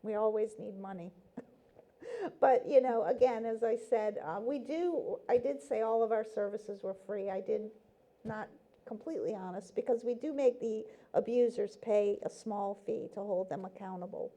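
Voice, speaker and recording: A woman's voice: mid-range voice, normal speed, mellow. A woman speaking as a talk show guest from a nonprofit, explaining things earnestly, with frequent "um"s and "you know"s. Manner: earnest, explaining, with many fillers. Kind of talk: casual interview chat.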